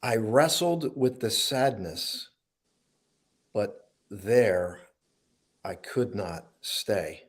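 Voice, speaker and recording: A man's voice: on the low side, lively intonation, very slow, pauses often, breathy edge. A man hosting a talk show about poetry in an earnest, informal, heartfelt way.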